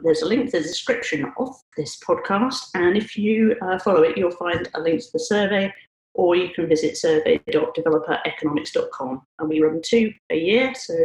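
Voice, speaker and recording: A woman hosting a podcast, speaking politely and in a measured way, with a few hesitations. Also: on the low side, a little mellow, roomy sound, low-quality recording. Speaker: podcast host, analyst.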